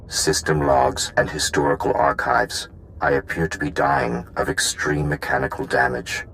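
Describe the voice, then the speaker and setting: An actor playing an ancient, dying machine gatekeeper in a video game, solemn and impassive, speaking in formal, clipped reports. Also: male voice, a bass voice, fairly bright tone, a little hiss or hum, rough recording.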